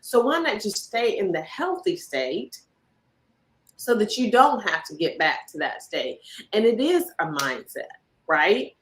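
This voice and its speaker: A woman hosting a casual interview chat, animated and repeating phrases for emphasis. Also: mid-range voice, unhurried, poor audio. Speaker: podcast host.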